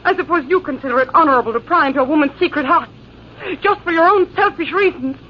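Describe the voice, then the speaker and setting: Actress as high-voiced, haughty young lady. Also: fast, close to an everyday voice. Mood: indignant. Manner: haughty, formal, melodramatic. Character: proud, beautiful young woman, indignant at a jealous suitor. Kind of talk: 1940s radio drama acting. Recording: hissy, muffled old radio recording.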